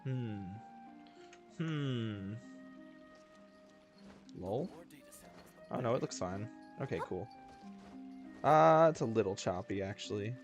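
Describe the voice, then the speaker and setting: A man's voice: on the low side, lively intonation, slow pace, long pauses. A man livestreaming a video game in casual, slangy, joking and self-deprecating chat. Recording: game music behind the voice. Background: video game music.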